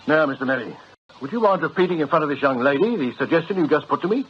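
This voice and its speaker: A man's voice: a little high, expressive, fast talker, natural voice. An actor playing an upright, indignant man in a radio drama, earnest, clipped and formal. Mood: firm. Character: upright, indignant male job-seeker, stiff and formal. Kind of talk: British radio drama acting. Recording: muffled radio drama recording, faint hiss.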